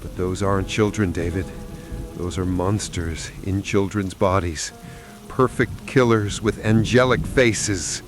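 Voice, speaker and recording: Man acting a slow, haunted male survivor over music. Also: deep voice. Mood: dread. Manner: intense, halting, dramatic. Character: terrified scientist survivor recounting horrors, haunted and despairing. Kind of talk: dramatic horror radio monologue.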